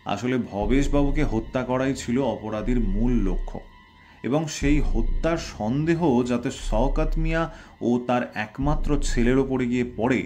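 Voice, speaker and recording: A man playing a shrewd, self-assured detective in an audio drama, speaking formally and deliberately with theatrical, probing delivery. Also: mid-range voice, close to an everyday voice. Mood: measured, explanatory. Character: shrewd, self-assured male Bengali detective unmasking a culprit. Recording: loud background music under voice.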